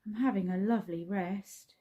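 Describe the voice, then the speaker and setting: A woman's voice: mid-range voice, moderate pace, dark tone, close to an everyday voice. A woman voicing a tired mother trying to get some rest. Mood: weary. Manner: weary, mild. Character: tired, put-upon mother.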